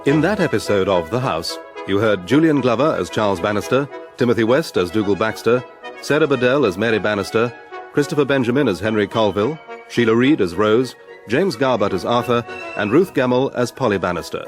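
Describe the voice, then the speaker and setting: A man's voice: mid-range voice, lively intonation, a little fast. A man reading scripted cast credits as a radio drama announcer. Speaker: continuity announcer. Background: brass theme music.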